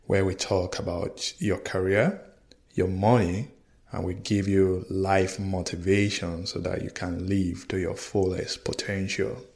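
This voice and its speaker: A man's voice: low-pitched, little pitch movement, unhurried, gravelly, a little mellow. A man hosting a podcast as a life coach, enthusiastic and earnest, with some hesitations. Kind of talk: upbeat podcast interview. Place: lives in Houston. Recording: roomy echo.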